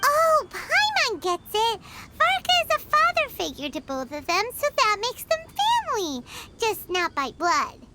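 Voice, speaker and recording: A woman's voice: very high-pitched, huge pitch swings, unhurried, very noisy, heavily acted. A woman voicing a small, chirpy, childlike fairy companion who talks in exclamations in a video game. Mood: puzzled. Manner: chirpy, exclamatory, speaks of herself in third person. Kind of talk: animated video game voice acting.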